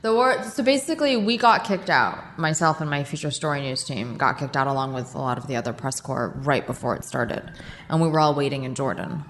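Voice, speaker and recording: Low-voiced woman telling stories in an echoey room. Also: fairly quick. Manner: animated, self-mocking storytelling with laughs. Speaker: guest, war correspondent. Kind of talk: podcast interview storytelling. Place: charity office, roomy. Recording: echoey room with faint noise.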